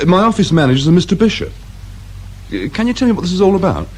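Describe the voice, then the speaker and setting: An actor playing a polite, anxious English office worker with a hesitant, fussy manner in a British radio drama. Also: male voice, high voice, very animated, fast, husky, full character voice. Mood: anxiously obliging. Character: nervous, polite male office worker questioned by police. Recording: faint noise behind radio drama recording.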